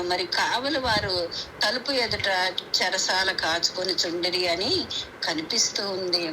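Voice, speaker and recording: A woman preaches a devotional sermon in an earnest, instructive manner, stressing key phrases through repetition, over a conference call. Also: mid-range voice. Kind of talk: devotional sermon with scripture readings. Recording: clearly noisy conference call audio.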